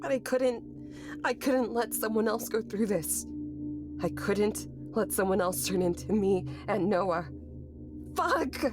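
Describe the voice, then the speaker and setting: A woman's voice: mid-range voice, animated, slow. An actress playing a grieving, anxious young woman, narrating a horror audio drama in an introspective, bitter, sweary manner. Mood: panicked, desperate. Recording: music behind the voice. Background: music.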